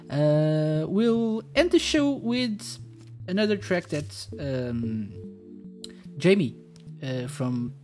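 A man's voice: on the high side, darkish tone. A man hosting a podcast in casual joking chat, teasing his co-host and often stumbling over repeated words.

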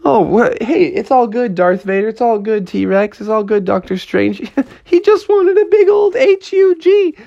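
A man voicing an excitable, easily scared little male hero in a children's story, dramatic and squealing. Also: very high voice, normal speed, creaky, muffled recording, pitched above the performer's own voice, heavily acted. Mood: relieved, delighted.

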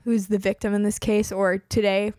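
A woman's voice: mid-range voice, level tone, moderate pace, a little mellow, no echo, studio quality. A woman in her twenties hosting a podcast in casual chat, chatty and full of "like", her voice a bit worn as she is losing it. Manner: chatty, peppers speech with "like". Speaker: podcast host.

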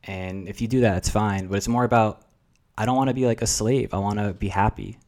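A young man with a fairly low voice speaks in a relaxed, meandering way. He is a guest on a podcast, full of "like" and "um" as he thinks aloud about life. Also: brisk. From New Jersey. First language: Russian. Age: 20s. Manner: reflective, rambling, filler-heavy. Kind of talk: casual chat.

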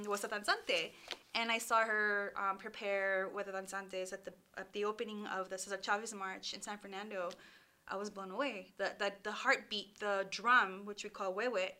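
A woman's voice: mid-range voice, pushed and bright. A woman, a PhD candidate telling her life story candidly in an interview. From Los Angeles, California, USA. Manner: candid, with fillers and restarts.

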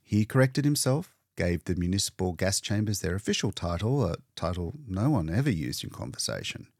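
A man reading literary fiction as an audiobook narrator, in a measured, deliberate and solemn storytelling manner. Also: sits low, sing-song, darkish tone, no echo, studio quality.

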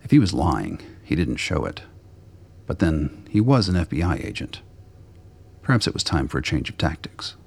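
Radio drama narrator: man, bottomless bass, husky, delivering scripted prose. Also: sing-song, normal speed, darkish tone. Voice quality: very deep, gravelly.

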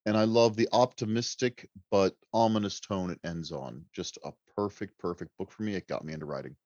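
A man's voice: deep voice, a little fast, darkish tone, no room sound. A man chatting casually as an author guest on a panel, in a slightly dull, lo-fi recording. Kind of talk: casual chat.

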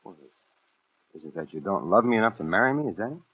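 An actor with an American accent playing a hard, rough killer in 1940s radio thriller style, with a husky voice. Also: male voice, on the low side, animated, fast talker. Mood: eager, insistent. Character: cold-blooded male killer, hard and rough, courting a widow. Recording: dull vintage 1940s radio recording.

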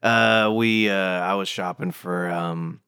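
A laid-back rock star hosting a backyard party, as a man plays him. He mumbles and hesitates with plenty of ums, his voice low and dry. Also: steady tone, takes it slowly, bright, forceful tone, clean tone, no echo, full character voice. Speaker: comedy improviser.

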